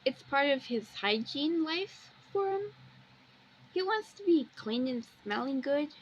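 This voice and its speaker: A woman giving unscripted, halting commentary on pictures, rambling a little and repeating phrases. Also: high-pitched, animated. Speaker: video host. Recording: dull lo-fi recording with background noise.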